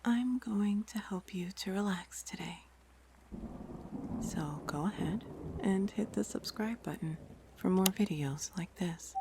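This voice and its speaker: Slow whispering woman guiding relaxation. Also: fairly low pitch, warm tone, a little hiss or hum. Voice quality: breathy whisper. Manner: slow, soothing, deliberate phrasing with long pauses. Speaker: guided meditation narrator. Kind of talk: whispered guided relaxation, ASMR-like scripted narration.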